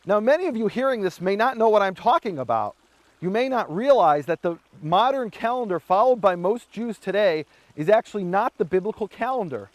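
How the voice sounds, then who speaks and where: A man giving a Bible teaching talk, telling history as a lively story and acting out the quoted voices. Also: sits high, fairly quick, dry recording.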